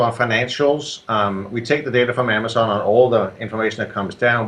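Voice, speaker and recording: A man with a Danish accent walks through how his software works in a casual interview. His explanations are steady and methodical, dotted with ums and small restarts, and his voice sits at a middle pitch. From Denmark. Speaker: software creator, guest.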